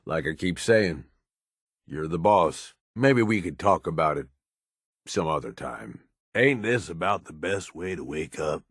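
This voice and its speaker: An actor playing a gruff, cynical raider henchman in a video game, blunt, foul-mouthed and grudgingly loyal, talking in a rough colloquial drawl. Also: sits low, lively intonation, unhurried, fairly bright tone, dry recording, clean studio sound, full character voice. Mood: deferential, then fond, teasing. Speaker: video game companion character. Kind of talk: video game dialogue lines.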